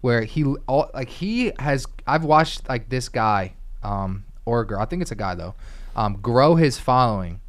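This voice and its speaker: A man's voice: medium pitch, lively intonation, moderate pace. Easygoing young man, podcast co-host. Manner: easygoing, says "like" and "literally" a lot. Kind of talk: casual chat.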